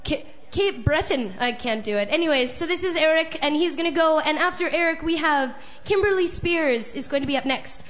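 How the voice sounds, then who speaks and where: High-voiced chatty woman hosting an open mic. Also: brisk, hardly any pauses, fairly bright tone. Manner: chatty, self-mocking, rambling. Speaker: open mic guest host. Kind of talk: casual joking stage banter. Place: small live venue on stage. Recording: dull lo-fi live recording.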